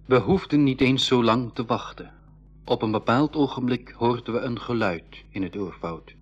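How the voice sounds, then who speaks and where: An actor playing a storyteller who recounts an adventure in scripted school radio narration. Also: male voice, mid-range voice, normal speed, breathy edge, close to an everyday voice. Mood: measured. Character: male storyteller recounting his own adventure. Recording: dull lo-fi radio recording with music.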